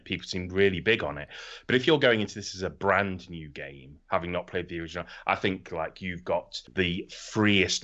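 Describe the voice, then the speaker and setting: A man's voice: low voice, a bit bright, dull audio. A middle-aged man hosting a casual podcast about video games, talking informally and swearing freely. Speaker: podcast host.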